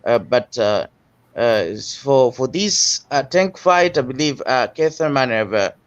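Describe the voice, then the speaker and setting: A man from Tanzania, talking boxing as a guest in a halting way, full of fillers and restarts, over a remote call. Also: mid-range voice, lively intonation. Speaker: guest panelist. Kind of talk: casual boxing discussion. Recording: dull lo-fi call audio.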